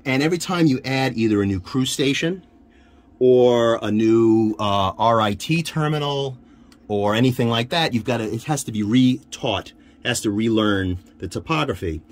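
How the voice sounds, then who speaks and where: A man giving an informal hands-on equipment demonstration, haltingly thinking aloud as he handles the gear. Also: mid-range voice, lively intonation. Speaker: radio hobbyist demonstrating equipment. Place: inside a military-style off-road vehicle. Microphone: handheld camera, one hand free. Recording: noisy handheld camera audio.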